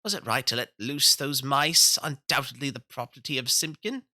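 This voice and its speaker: A man's voice: mid-range voice, lively intonation, moderate pace, pushed and bright, no echo, clean studio sound, pitched above the performer's own voice. A man voicing a poor, worried old male tailor who frets and mutters to himself in a storybook. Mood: despairing. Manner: anxious muttering lament.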